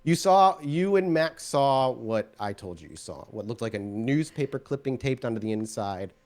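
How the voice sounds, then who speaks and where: Casting: male storyteller for a tabletop roleplaying game, medium pitch, conversational improvised narration. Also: lively intonation, normal speed, darkish tone. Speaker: game master.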